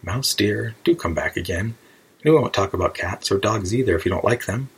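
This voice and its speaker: Man voicing a polite, husky-toned little storybook girl. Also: sits low, animated, brisk, a bit bright, full character voice, rough recording. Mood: apologetic. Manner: earnest, rambling, apologetic. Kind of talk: children's storybook character voice.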